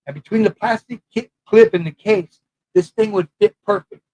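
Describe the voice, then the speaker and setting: A man who served prison time, talking into a mic in a loud voice with blunt, profane street talk and a rambling manner. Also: sits high, moderate pace, rough recording. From California. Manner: rambling, loses his thread. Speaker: former prisoner sharing prison know-how. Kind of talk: casual monologue to camera.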